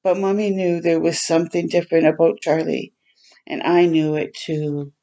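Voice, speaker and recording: A woman hosting a storytime for children, reading a picture book aloud in a gentle way and pausing to chat and explain simply. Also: low-pitched, level tone. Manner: gentle, simple explaining to young listeners, with pauses to comment.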